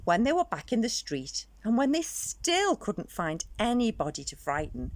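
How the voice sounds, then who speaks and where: A woman's voice: on the high side, big pitch swings, moderate pace. A woman storyteller reading a children's picture book aloud expressively, drawing out words for dramatic emphasis.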